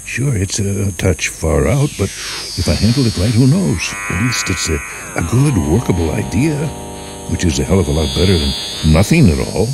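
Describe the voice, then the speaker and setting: A man voicing an anxious, excitable fiction writer who muses emphatically to himself in a dramatic radio story. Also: low-pitched, normal speed, vocal fry, background noise, voice pushed up, heavily acted. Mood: hopeful musing. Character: frustrated male fiction writer thinking aloud, excitable, anxious. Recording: music behind the voice.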